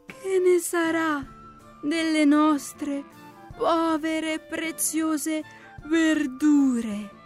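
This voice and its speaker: Tiny female snail, extremely high voice, dragging words, earnest, plaintive, storybook, soft music behind. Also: very slow, heavily acted. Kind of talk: children's bedtime story character voice.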